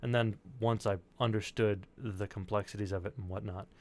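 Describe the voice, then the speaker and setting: A man's voice: on the low side, fairly even intonation, moderate pace, darkish tone. A man hosting a casual chat show, talking in a wordy, joking way and swearing freely. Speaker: podcast and stream host.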